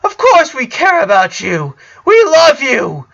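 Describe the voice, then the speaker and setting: Man doing an indignant, high-pitched teenage sister. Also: huge pitch swings, takes it slowly, creaky, fairly bright tone, muffled recording, full character voice. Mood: hurt, indignant. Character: angry, hurt teenage sister, loud and indignant.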